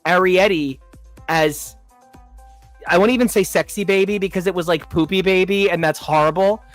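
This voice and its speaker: A man with a slightly higher voice talks in a big, loud, animated way. He is co-hosting a relaxed review discussion. Faint music plays underneath. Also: moderate pace, no echo. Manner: animated, self-described big loud talker. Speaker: podcast co-host. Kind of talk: casual review chat.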